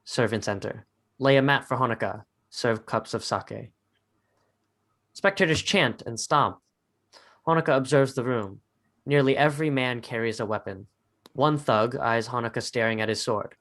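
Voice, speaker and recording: A man with a medium-pitched voice narrating stage directions in a dramatic script reading. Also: normal speed, a bit bright.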